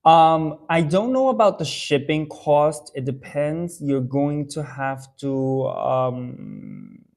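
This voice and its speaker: A man's voice: on the high side, big pitch swings, slow pace, vocal fry, darkish tone. A young adult man from the Dominican Republic, chatting casually on a live stream and answering viewer questions with lots of fillers and restarts. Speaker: haircare brand owner answering live viewers.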